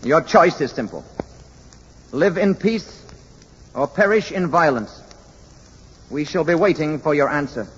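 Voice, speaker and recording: An actor playing a grave alien emissary, speaking formally and with measure in a 1950s radio drama. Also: male voice, on the high side, lively intonation, a little slow, pauses often, a little hiss or hum. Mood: grave, commanding. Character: solemn alien emissary warning mankind. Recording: muffled vintage radio, long hall reverb.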